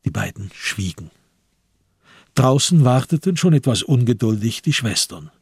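A man narrating a literary radio drama in a measured, grave and deliberate manner. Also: mid-range voice, very animated, a little mellow, husky, dry recording, clean studio sound.

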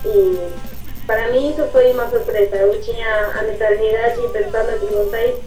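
A woman's voice: fairly high pitch, steady tone, normal speed, dark tone, soft background music. Distant woman in an echoey room. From Argentina. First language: Spanish. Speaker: exchange student guest.

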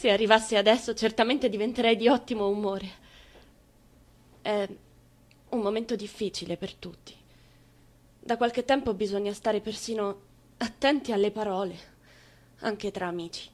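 An actress playing a moody, dreamy young German woman in wartime, in an introspective theatrical monologue of measured short phrases. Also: mid-range voice, fairly even intonation, lightly acted. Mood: tender, sober.

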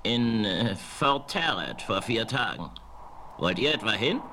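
An actor playing a shifty, inquisitive frontier rogue in a German radio play, theatrical and full of questions. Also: male voice, on the low side, very animated, measured pace, sharp tone, exaggerated voice. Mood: probing. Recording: noisy vintage audio drama recording.

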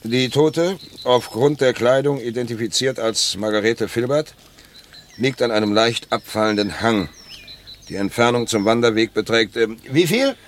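An actor playing a brisk, authoritative public prosecutor in a radio crime drama, speaking in clipped, formal dictation. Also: male voice, mid-range voice, natural voice. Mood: formal, dictating. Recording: noisy outdoor ambience with birds.

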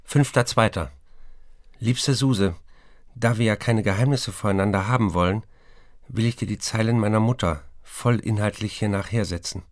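Low-voiced besotted writer, played by a man. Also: moderate pace, natural voice. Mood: matter-of-fact. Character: besotted, restless male writer reading his love letters aloud. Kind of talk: radio play, letters read aloud.

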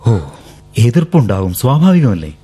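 Brief: a tender suitor, mellow husky voice, lively pitch, old radio drama. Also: male voice, moderate pace. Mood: coaxing. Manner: gentle and coaxing. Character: earnest young suitor with a new job. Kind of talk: 1970s radio drama acting.

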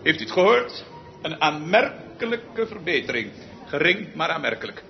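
Radio play role: tyrannical, exasperated male conductor, highish, very animated, theatrical, from muttering to bellowing, on a dull old recording. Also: normal speed, heavy background noise. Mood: indignant.

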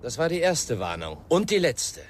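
Menacing thug with swinging pitch. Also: male voice, huge pitch swings, moderate pace. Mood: mocking menace. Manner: taunting, threatening. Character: menacing, taunting racist male thug in the dark. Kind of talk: German radio crime drama acting.

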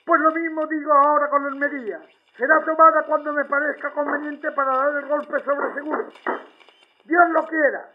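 Through a dull old radio filter comes a high-pitched fascist general. He is pompous and taunting, boasting and sneering in the style of 1930s wartime propaganda. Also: little pitch movement, normal speed. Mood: boastful, defiant. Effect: old radio broadcast filter.

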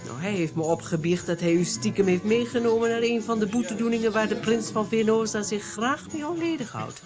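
Music plays behind a haughty princess played by a woman in a radio play. She has a medium-pitched voice and talks with aristocratic teasing and a dark, insinuating edge. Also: fairly quick, close to an everyday voice. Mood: scornful. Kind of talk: radio drama dialogue.

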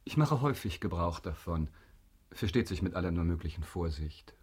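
Radio drama lead: calculating aristocrat, low husky voice, courteous, deliberate, quietly insinuating. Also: male voice, animated. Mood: suave, calculating. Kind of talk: German radio drama acting.

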